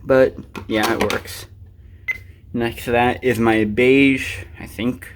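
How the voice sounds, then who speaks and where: A man's voice: mid-range voice, fairly even intonation, slow pace. A man giving a casual, unscripted show-and-tell of his collection, rambling offhandedly with muttered asides to himself. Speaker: collector presenting his radios. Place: indoors, at home by a desk. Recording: clearly noisy amateur recording.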